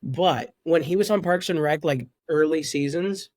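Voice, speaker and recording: A young man in his twenties with a high voice chats as a podcast guest. He often stumbles and repeats words before getting a thought out. His voice is recorded dry and close. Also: normal speed, darkish tone, dry recording. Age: 20s. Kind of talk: casual podcast chat.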